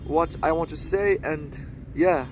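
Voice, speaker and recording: A Moroccan man in his early thirties speaks in a high-pitched voice. He rambles vlog-style in short, halting phrases, checking again and again that he is understood. Clear street-like noise runs behind him. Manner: halting short phrases with constant repeated tag questions. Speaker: seduction coach introducing own channel.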